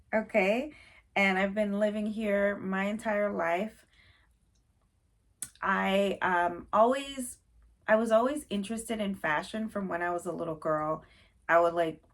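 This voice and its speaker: A young woman from Haiti, chatting casually to camera in her first vlog, a little nervous and full of fillers. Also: mid-range voice. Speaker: vlogger.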